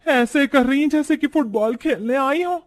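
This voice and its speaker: A man acts out an older village mother-in-law in an animated moral tale. The voice is high-pitched and plaintive. She is traditional and exasperated, scolding with dramatic exclamations. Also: normal speed. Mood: pained, indignant.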